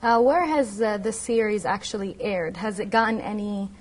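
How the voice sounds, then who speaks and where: A woman's voice: medium pitch, normal speed. A woman hosting a live community television talk show, introducing her guest in a friendly, welcoming way with some fillers.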